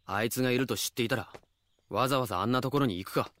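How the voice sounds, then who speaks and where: An actor playing a proud, curt, jealous husband of rank in a Japanese audio drama. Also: male voice, mid-range voice, rapid-fire, a bit bright, natural voice. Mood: sulky, guarded. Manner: curt, brusque, sulky.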